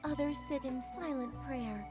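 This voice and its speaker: Woman acting a high-voiced, gentle wandering bard. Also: over music, close to an everyday voice. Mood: wistful, tender. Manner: lyrical, unhurried storytelling. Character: gentle wandering female bard, poetic and serene. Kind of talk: scripted video game voice acting.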